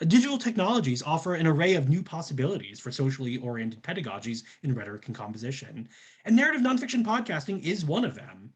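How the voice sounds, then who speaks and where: A man's voice: fairly high pitch, big pitch swings, fast, slightly rough. A man giving a scripted academic presentation as a researcher, in an earnest, formal scholarly manner.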